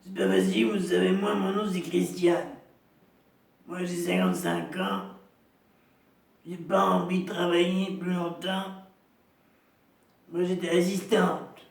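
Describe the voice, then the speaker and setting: A man playing a lonely, proud woman in her fifties, a chain-smoking heavy drinker with a deep, gravelly voice who rambles slowly and repeats herself in a comic monologue. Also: reverberant, far from the mic. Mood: rambling. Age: 50s. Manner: slow, rambling, repetitive, self-pitying.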